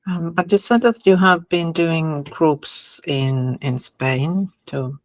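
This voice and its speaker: A woman with a Finnish accent, a podcast host asking questions gently in a casual interview. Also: deep voice, slow, no echo. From Finland.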